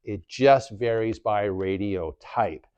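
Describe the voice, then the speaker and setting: A man's voice: lowish voice, takes it slowly, noisy background. A man in his forties explaining things plainly and reassuringly, like an informal instructor on a how-to video, on a clip-on mic. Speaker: instructor presenting a community video series. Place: small plain office room, indoors. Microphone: lavalier clipped at the collar.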